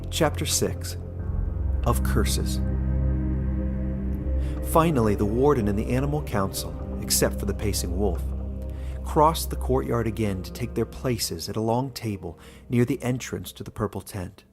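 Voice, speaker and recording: A man reading scripted fantasy narration in a deliberate, dramatic storytelling manner. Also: fairly low pitch, music in the background. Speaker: author and narrator.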